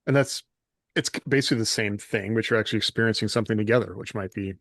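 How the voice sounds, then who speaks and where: A man's voice: on the low side, fast, fairly bright tone, dry recording. Middle-aged man, dry close podcast sound. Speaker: podcast host, writer. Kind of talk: casual podcast conversation.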